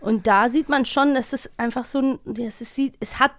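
A woman's voice: a little high, steady tone, moderate pace, dry recording, clean studio sound. A woman with a German accent co-hosting a podcast about audio dramas in an informal, chatty way. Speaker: podcast host. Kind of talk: casual co-hosted chat about children's audio dramas.

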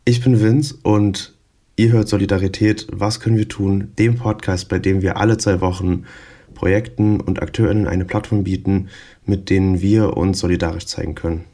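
A man's voice: low-pitched, fairly even intonation, normal speed, mellow. A man co-hosting a podcast, reading the intro and then chatting hesitantly with many fillers. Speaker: podcast co-host.

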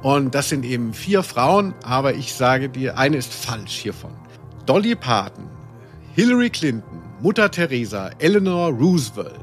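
A man's voice: mid-range voice, sing-song, normal speed, soft background music. A man co-hosting a podcast, playing quizmaster in casual, teasing banter with a mock game-show delivery.

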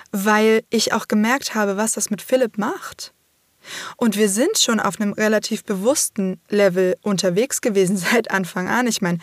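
Slightly hoarse woman, casual candid talk. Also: medium pitch, dry recording, studio quality. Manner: open, casual, swears freely, many fillers. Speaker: podcast host. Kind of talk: candid personal monologue.

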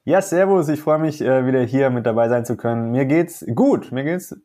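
A man speaks as a podcast guest, his nose a little stuffy. He explains things eagerly and repeats phrases for emphasis, in a mellow, warm voice. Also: mid-range voice, moderate pace. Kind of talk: casual podcast chat.

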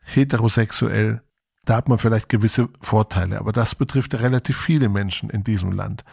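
Gravelly husky man in his forties. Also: fairly low pitch, a little fast, dry recording, clean studio sound. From Germany. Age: 40s. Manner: relaxed, reflective, many fillers. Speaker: podcast co-host. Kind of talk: casual podcast conversation.

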